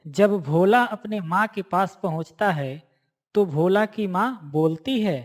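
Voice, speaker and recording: Storyteller with a mid-pitched voice. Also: measured pace. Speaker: narrator. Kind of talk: scripted storytelling narration.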